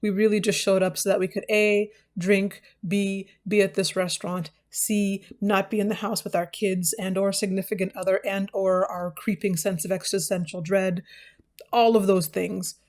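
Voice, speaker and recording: A woman's voice: mid-range voice, little pitch movement, normal speed, a little mellow. An American woman hosting a book channel, talking casually and off the cuff to viewers in a wry, self-deprecating, digressive way. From United States. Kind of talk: casual, unscripted vlog talk to viewers.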